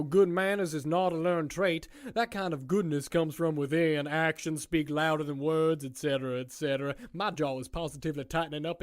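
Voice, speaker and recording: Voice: mid-range voice, close to an everyday voice. An actor voicing a smug, folksy, sinister warden in an audio drama, glib and mock-polite with a theatrical drawl. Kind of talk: scripted audio drama acting.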